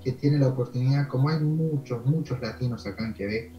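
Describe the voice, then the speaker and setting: A man's voice: medium pitch, roomy sound, dull audio, soft background music. French-Canadian man with an Argentine accent. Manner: relaxed, rambling storytelling with pauses and fillers. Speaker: immigration consultant. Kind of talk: interview over video call.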